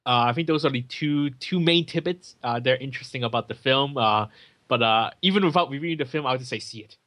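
Bright-voiced man chatting about film. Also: medium pitch, fast talker. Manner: chatty, many fillers and restarts. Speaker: film podcast co-host. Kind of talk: casual film chat.

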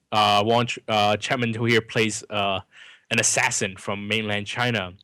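Film podcast host, man, Cantonese native, bright tense voice, dry sound, halting delivery. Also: on the low side, dry recording. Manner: hesitant, many ums and restarts. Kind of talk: casual film review chat.

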